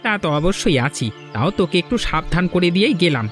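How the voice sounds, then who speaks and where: A man doing a high, anxious fisherman friend. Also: big pitch swings, fast talker, fairly bright tone, soft background music. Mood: earnest. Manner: earnest, warning. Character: cautious, worried village fisherman friend. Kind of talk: cartoon voice acting.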